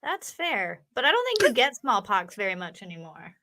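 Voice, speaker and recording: A woman's voice: mid-range voice, wildly swooping pitch, moderate pace, bright, forceful tone, a little hiss or hum. A woman on a livestream panel, chatting casually with a wry, playful manner. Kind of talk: casual chat.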